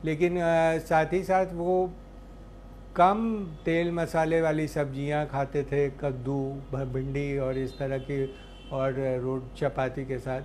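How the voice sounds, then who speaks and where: A man speaking unscripted as an interviewee, recollecting in a halting way and searching for words. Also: fairly high pitch, a little mellow. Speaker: interviewee recounting a poet's life. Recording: faint background ambient noise.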